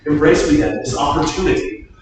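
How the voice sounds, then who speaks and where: A man's voice: on the high side, expressive, fairly quick, lightly acted. A man acting a proud, fiery English warrior lord in declamatory Shakespearean verse. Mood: grave, calculating. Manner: theatrical, declamatory. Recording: echoey hall, distant mic, noisy.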